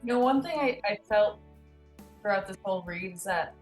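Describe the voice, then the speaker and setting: A woman's voice: mid-range voice, moderate pace, roomy sound, soft background music. A woman giving her opinion casually as a guest on a comedy show.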